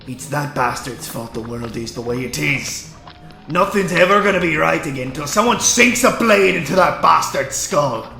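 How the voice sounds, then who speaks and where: A gruff, bitter older male vampire hunter in a fantasy audio drama. The voice is bright and gravelly, with slow, emphatic rustic speech full of scorn, over music. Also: a little high, expressive, heavily acted. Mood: bitter, angry. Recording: echoey, music underneath.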